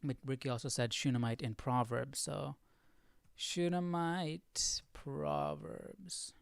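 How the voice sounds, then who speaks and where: Smooth-voiced man musing aloud, dry sound. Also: on the high side, flat delivery, no echo. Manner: informal, halting, muses to himself. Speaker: host of a Bible study livestream. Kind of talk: casual thinking-aloud commentary.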